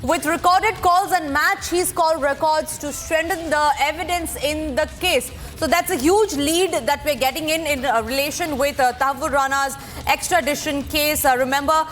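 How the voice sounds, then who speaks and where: A woman's voice: sits high, moderate pace, few pauses, fairly bright tone, soft background music. A woman with an Indian English accent anchoring live breaking news in an urgent, repetitive way.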